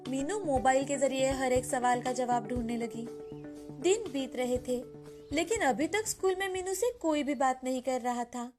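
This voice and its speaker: High-pitched woman narrating a children's story. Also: moderate pace. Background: background music.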